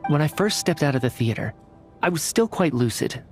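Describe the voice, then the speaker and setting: An actor playing an earnest young man in a video game cutscene, telling his story in a measured, dramatic way. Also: fairly high pitch, lively intonation, gravelly, darkish tone, faint music behind. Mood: haunted, reflective. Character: earnest young man, a lost soul recounting his death.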